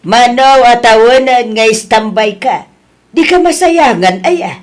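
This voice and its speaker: A woman playing a long-suffering, scolding Filipino mother of grown children in an audio drama, with drawn-out exasperated exclamations. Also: fairly high pitch, natural voice. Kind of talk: dramatised audio story acting.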